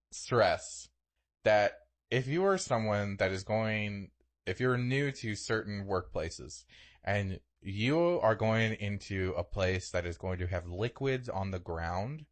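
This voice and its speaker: A young man with a fairly low voice talks as a podcast co-host. His pitch swings widely as he tells exaggerated comic stories, loosely and conversationally, with casual swearing. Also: expressive, slow pace. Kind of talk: casual comedic chat and storytelling.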